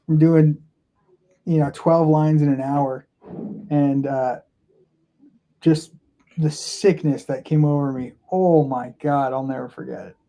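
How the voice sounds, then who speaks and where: A podcast guest from Florida tells his story casually, in a rambling way full of fillers and restarts. His man's voice sits a touch high, with a mellow, warm tone. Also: steady tone, takes it slowly, long pauses.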